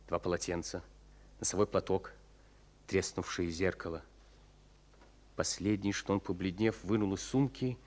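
A man narrating a wartime radio play as a solemn storyteller, with measured, weighty literary phrasing and pauses. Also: low voice, a little mellow. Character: solemn storyteller of a war tale.

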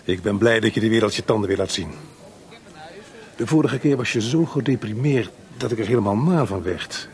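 An actor playing a kindly, concerned older composer in a Dutch radio drama, speaking in a gentle, measured, fatherly way. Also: on the low side, animated, lightly acted. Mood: concerned, warm.

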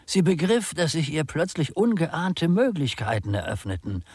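A man's voice: on the high side, big pitch swings, moderate pace, a little mellow, breathy edge, no room sound, heavily acted. An actor playing an anxious, earnest male undertaker in a German radio play, formal and polite, stammering when flustered. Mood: quiet, solemn. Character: anxious, earnest small-town male undertaker, secretive and proper.

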